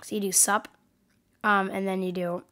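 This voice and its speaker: A woman's voice: medium pitch, flat delivery, normal speed, pushed and bright. A young woman with a cold hosting a casual coding tutorial from her desk, hesitant and full of ums and self-corrections. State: has a cold, sniffling. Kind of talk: casual screen-recorded tutorial.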